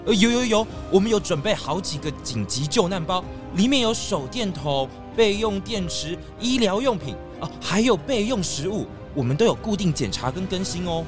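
A man's voice: high voice, animated, moderate pace, hardly any pauses, over music. A man with a Taiwanese accent voicing a nervous, helpful male deer in a children's audio drama, hesitant and stammering. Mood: eager.

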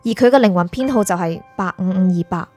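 A woman's voice: on the low side, fast talker, dark tone, lightly acted. A woman with a Hong Kong Cantonese accent acting a stern, impatient spirit prosecutor in a radio drama, curt and authoritative. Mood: stern, official. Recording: music behind.

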